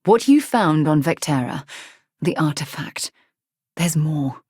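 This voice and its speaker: A woman playing an earnest, principled space explorer with military training in a video game, speaking in a measured, articulate and sincere way with a husky voice. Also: low-pitched, expressive, darkish tone, dry recording, studio quality, heavily acted. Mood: composed, explanatory. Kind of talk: video game companion dialogue acting.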